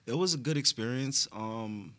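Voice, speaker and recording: A man's voice: medium pitch, normal speed, sharp tone. A man from the United States speaking casually and reflectively as an interview guest, with slangy phrasing and lots of "like" and "right?". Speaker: interview guest, media and marketing executive.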